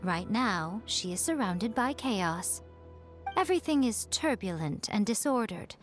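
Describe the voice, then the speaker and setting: A woman voicing a sly, elegant fox-spirit shrine familiar in a video game, poised and lofty with deliberate, teasing phrasing. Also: mid-range voice, expressive, close to an everyday voice. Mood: composed, explanatory. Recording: game music underneath. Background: game music.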